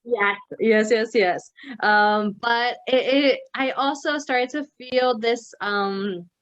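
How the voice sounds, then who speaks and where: A woman's voice: on the high side, slow, fairly bright tone, lo-fi sound. A young woman the United States, talking casually as a guest in an interview, rambling with many fillers and restarts and dropping in Spanish words. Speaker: guest, company co-founder. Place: Mexico City.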